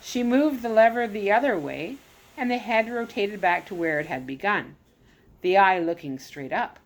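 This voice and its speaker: Lively woman reading a storybook aloud. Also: mid-range voice, normal speed, low-quality recording. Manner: expressive read-aloud with lively rises on exclamations; occasional self-corrections. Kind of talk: reading a children's chapter book aloud.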